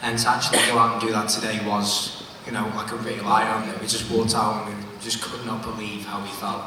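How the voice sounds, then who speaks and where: A man's voice: lowish voice, little pitch movement, brisk. A young man from the United Kingdom, a singer answering at a press conference in a chatty way with many fillers. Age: young adult. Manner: chatty, laughing, full of fillers. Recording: echoey hall, far from the mic, background hum.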